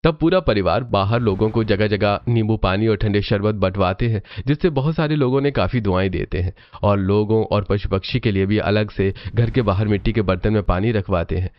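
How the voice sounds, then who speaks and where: A man's voice: on the low side, fairly quick, few pauses, lo-fi sound. A man narrating a scripted story.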